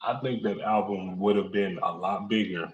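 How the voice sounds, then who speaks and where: A man in his thirties co-hosting a casual hip-hop chat, measured and thinking aloud in long sentences. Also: low-pitched, steady tone. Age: 30s. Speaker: podcast co-host. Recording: dull, roomy mic sound.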